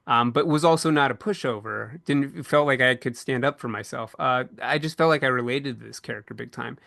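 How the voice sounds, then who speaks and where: A man hosts a casual podcast panel with a bright, forward voice and a very dry, close sound. He speaks reflectively and conversationally as he moderates the discussion. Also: mid-range voice, gravelly, no echo.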